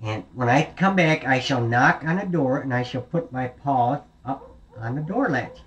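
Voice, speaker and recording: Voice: mid-range voice, animated, normal speed, dull audio, slight background noise, close to an everyday voice. A storyteller voicing an anxious, caring mother goat who warns her children with old-fashioned words. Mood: warning. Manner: fussy, warning, old-fashioned speech.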